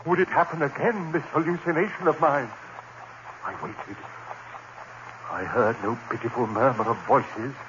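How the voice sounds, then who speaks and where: An actor playing a tormented, haunted man narrating his dread in melodramatic old-time radio horror style, swinging from hushed brooding to frenzy. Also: medium pitch, normal speed, pauses often, lightly acted. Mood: anxious. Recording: muffled, noisy old radio drama recording.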